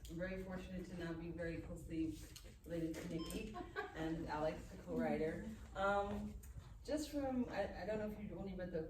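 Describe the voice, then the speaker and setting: A woman's voice: fairly low pitch, far from the mic. Lady hosting a casual q&a, rambles a bit, echoey and noisy room. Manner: halting, rambling. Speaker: moderator. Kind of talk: informal feedback session. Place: live event room at a film festival.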